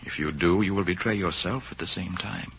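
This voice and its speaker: A man's voice: low voice, a little fast, a bit bright, slight background noise. A man playing an earnest, lovestruck aristocratic count in a 1930s radio drama, speaking in a declamatory, romantic way. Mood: grave, confiding. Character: ardent aristocratic count, earnest lover and patriot. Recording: muffled old 1930s radio recording with music.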